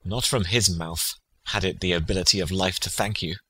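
A man's voice: deep voice, steady tone, moderate pace, bright, forceful tone, breathy edge, exaggerated voice. A man playing a prince's loyal, grieving friend in a classical tragedy, speaking in a solemn, declamatory way. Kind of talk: classical stage tragedy, dramatic audiobook reading.

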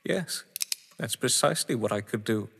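A man acting a bitter, possessive husband in an audio drama, with deliberate, theatrical, articulate delivery. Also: lowish voice, expressive, creaky, a bit bright, a little hiss or hum. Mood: cold scornful. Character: bitter, possessive male crime-story lover, pleading then menacing. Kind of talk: dramatic stage-play acting. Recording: long echoing reverb.

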